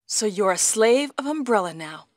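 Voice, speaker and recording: An actress playing a brave young heroine in a video game, earnest and deliberate, with stilted delivery. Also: female voice, mid-range voice, lively intonation, no echo, studio quality, lightly acted. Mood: accusing. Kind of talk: video game cutscene acting.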